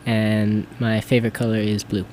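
A nineteen-year-old man introducing himself on a radio show in a plain, flat way. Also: on the low side, normal speed, crowd noise. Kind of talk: casual self-introduction.

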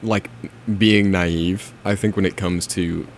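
A man's voice: deep voice, steady tone, slow pace, slight background noise. A young man co-hosting a casual chat, feeling his way through his points in a hesitant, conversational manner. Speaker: podcast co-host. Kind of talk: casual chatty discussion.

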